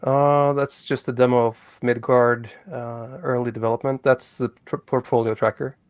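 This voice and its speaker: A man speaking as a guest on a livestream, explaining his project in a halting way with many fillers. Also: medium pitch, level tone, no room sound, clean studio sound. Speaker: guest, crypto project developer. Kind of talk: casual livestream chat.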